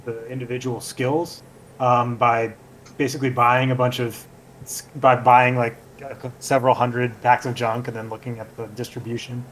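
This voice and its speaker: Man, podcast guest, hesitant, stop-start explaining, medium pitch, faint hiss. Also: level tone, normal speed, fairly bright tone, poor audio. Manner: hesitant, many fillers and restarts, trails off. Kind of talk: casual chat.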